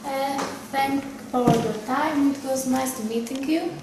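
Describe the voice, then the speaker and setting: A woman's voice: high-pitched, fairly even intonation, a little slow. A teenage girl with a Greek accent playing a polite, eager applicant for a secretary job in a school role-play. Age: teenage student. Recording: very echoey, distant, noisy room.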